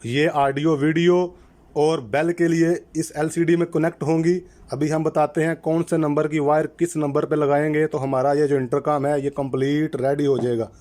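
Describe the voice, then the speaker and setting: A man presenting a methodical, step-by-step installation tutorial in an instructional way, repeating key terms to make them clear. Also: a little high, little pitch movement, normal speed, a little mellow. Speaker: electrician presenting a how-to channel.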